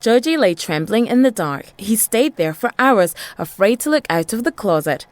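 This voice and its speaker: Animated woman storyteller. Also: medium pitch, moderate pace, no echo. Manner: dramatic, rhythmic delivery with repeated phrases. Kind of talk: expressive children's storytelling.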